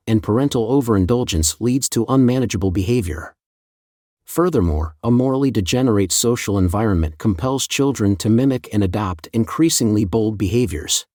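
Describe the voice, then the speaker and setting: A man narrating scripted news in a formal, measured, read-aloud manner. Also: on the low side, darkish tone, dry recording, studio quality.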